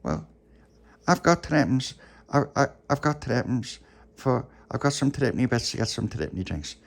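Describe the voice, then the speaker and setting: A man's voice: medium pitch, very animated, moderate pace, creaky, pitched above the performer's own voice. A man voicing a small, earnest boy, pleading and stammering a little in a told story. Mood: earnest, eager.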